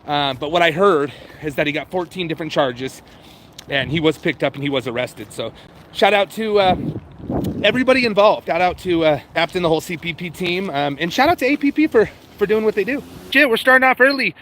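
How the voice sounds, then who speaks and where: High-pitched man livestreaming amid outdoor noise. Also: lively intonation, a little fast, a bit bright. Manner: informal, sweary, addresses viewers directly. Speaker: livestream host chatting with viewers. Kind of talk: casual livestream chat. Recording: noisy outdoor street and vehicle background.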